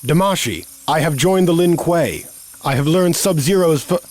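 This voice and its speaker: An actor playing an earnest, dutiful young martial arts hero in a video game, speaking formally. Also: male voice, fairly high pitch, normal speed, darkish tone, slight background noise, natural voice. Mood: eager, dutiful. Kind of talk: video game cutscene voice acting.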